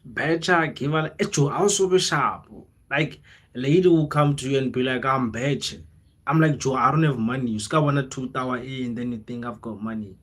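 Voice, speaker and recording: A man's voice: medium pitch, fairly quick, a bit bright. South African man chatting casually on livestream. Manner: informal, slangy, code-switches into local languages, repeats phrases. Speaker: livestreamer, content creator. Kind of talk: casual livestream chat, motivational rambling.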